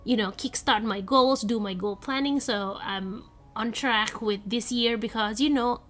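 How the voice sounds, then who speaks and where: A woman's voice: on the high side, measured pace, faint music behind. A young woman with a congested voice, chatting casually off camera as she walks viewers through her planning template. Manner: chatty, rambling, giggly. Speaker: vlogger. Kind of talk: casual voice-over walkthrough. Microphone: voice-over, off camera.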